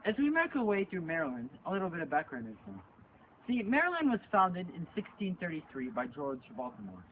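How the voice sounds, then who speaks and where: A man's voice: a little high, huge pitch swings, fairly quick. A man playing an eager tour presenter, delivering scripted narration in an amateur skit. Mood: informative. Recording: tinny, noisy location sound.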